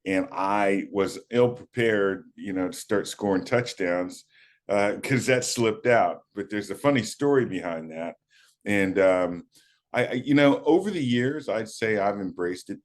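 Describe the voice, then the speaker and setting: A man in his fifties from the United States, recalling his playing days in a relaxed, halting interview manner with many fillers. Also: fairly low pitch. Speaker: guest, former college running back. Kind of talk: casual interview talk.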